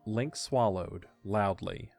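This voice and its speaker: A man's voice: on the low side, slow, gravelly, darkish tone, faint music behind. A man narrating a scripted audio drama as its storyteller.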